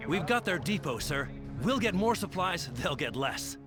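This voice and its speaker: A man's voice: high-pitched, very animated, moderate pace. An actor playing an eager, dutiful soldier giving clipped, deferential reports to his commander in a video game. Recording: loud music under the voice. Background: loud game music.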